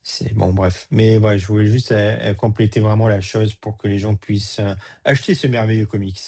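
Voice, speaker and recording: A man's voice: fairly low pitch, fairly even intonation, normal speed, darkish tone. A man with a European French accent giving blunt opinions as a guest in a casual review chat.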